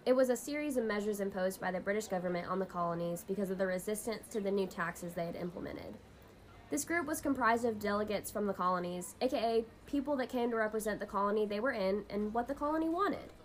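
A woman's voice: medium pitch, close to an everyday voice. A woman playing a young woman who patiently explains history to her friend in a scripted amateur radio drama.

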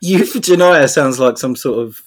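A man's voice: mid-range voice, normal speed. A man in casual comedy chat, making dry teasing jokes and laughing.

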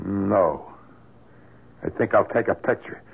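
An actor playing a suspicious, embittered veteran police inspector, blunt and pushy, in an old-time radio drama. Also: male voice, lowish voice, slow, gravelly, breathy edge, full character voice. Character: Inspector Barrett, hard-boiled, suspicious, embittered veteran cop. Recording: muffled vintage radio drama recording.

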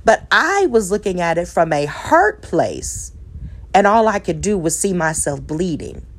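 A woman's voice: fairly low pitch, a little mellow. A woman, a divorced single mother giving relationship advice in an earnest, reflective monologue, with a preacher-like cadence, repeated phrases and self-deprecating asides.

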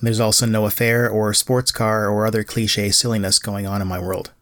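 A middle-aged man, narrating a solo podcast in a measured, lightly self-deprecating way. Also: on the low side, level tone, a bit bright, no echo, clean studio sound. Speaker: podcast host, writer.

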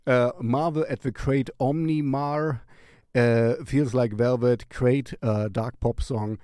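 Slow-talking man hosting a music show. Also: mid-range voice, fairly even intonation, dark tone, clear, resonant tone, no room sound, studio quality. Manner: playful, distracted, halting delivery. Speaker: host of a music recommendation show. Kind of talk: casual rambling music recommendation.

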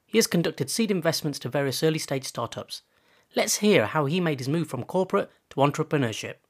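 A man's voice: a little high, animated, brisk. A man hosting a podcast interview, talking in a chatty way and often restarting his phrases. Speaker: podcast host.

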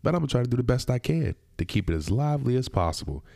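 A man's voice: medium pitch, sing-song, rapid-fire, gravelly, warm tone, no room sound, clean studio sound. A man hosting his own podcast in a loose, personal monologue, sounding a little nasal from a cold. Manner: conversational, loose and personal, with asides. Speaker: podcast host. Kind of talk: casual solo podcast monologue.

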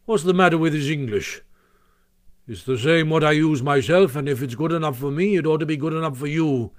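A man voicing a gruff, blunt older badger in a storybook, speaking emphatically and insistently with rustic grammar. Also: on the high side, brisk, close to an everyday voice. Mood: peevish, insistent.

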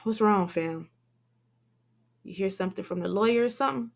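A woman's voice: mid-range voice, moderate pace, creaky. A woman voicing an easygoing, friendly young man talking in casual slang.

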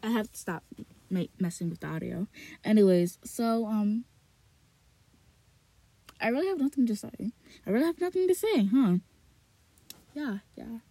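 Woman, podcast host, slow read-aloud, rambling chat, repeats herself, small closet recording. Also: a little high, expressive, warm tone, dry recording. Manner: rambling, repeats words, frequent fillers and restarts.

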